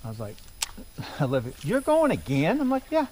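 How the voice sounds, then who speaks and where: Man, 40s, fishing channel host on a boat. Very lively pitch, excitable, outdoor camera audio. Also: normal speed, background noise. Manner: excitable, loud bursts when fish hit. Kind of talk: casual fishing commentary. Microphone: action camera on the boat.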